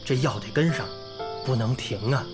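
An actor playing a devoted, earnest young village schoolteacher in a radio drama. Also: male voice, mid-range voice, normal speed, lightly acted. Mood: earnest, resolute. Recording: music behind the voice.

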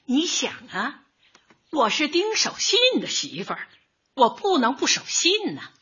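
A woman's voice: high voice, wildly swooping pitch, measured pace, a bit bright, exaggerated voice. A female actor playing a plucky, folksy, stubborn middle-aged housewife in a comic radio drama, with feisty colloquial speech. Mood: determined. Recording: dull lo-fi audio.

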